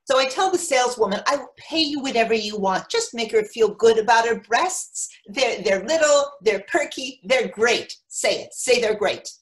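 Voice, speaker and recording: A woman's voice: on the high side, lively intonation, moderate pace, hardly any pauses, lightly acted. A woman playing an eager, protective, overinvested mother in a comic monologue reading. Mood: indignant. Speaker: actor in a readers theatre.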